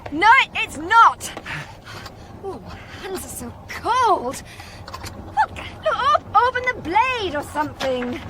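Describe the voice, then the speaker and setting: Outspoken country wife, radio drama. High-pitched, very lively, commanding, with clear outdoor noise. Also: female voice, takes it slowly, pauses often, full character voice. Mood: flustered, urging. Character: brisk, commanding, outspoken young farmer's wife.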